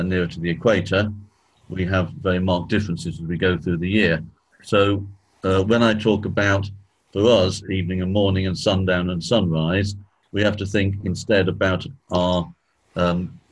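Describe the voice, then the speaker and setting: A man with a croaky voice, clearing his throat often as he leads a church study session, slowing down on key points. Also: deep voice, rough recording. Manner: measured, slows down on key points. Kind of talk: spoken teaching talk.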